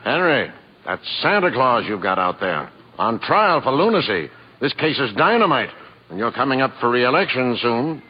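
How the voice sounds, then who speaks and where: Pushy, lively political fixer. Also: male voice, medium pitch, normal speed, slightly rough. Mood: insistent. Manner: emphatic, wheedling. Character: shrewd, pushy male political crony. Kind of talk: old-time radio drama acting. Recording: muffled vintage radio broadcast, hiss.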